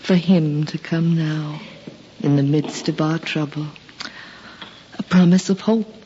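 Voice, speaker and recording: An actress in a 1950s radio drama playing a tender, anxious, hopeful aunt with an earnest, theatrical delivery. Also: female voice, low voice, fairly even intonation, slow pace, dark tone, a little hiss or hum. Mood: wondering. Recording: muffled old radio recording.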